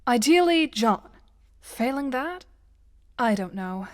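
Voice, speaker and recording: A woman's voice: on the high side, lively intonation, slow, heavy background noise. A woman voicing a dry-witted, composed young gamer in an audio drama, speaking precisely and formally. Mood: measured, analytical.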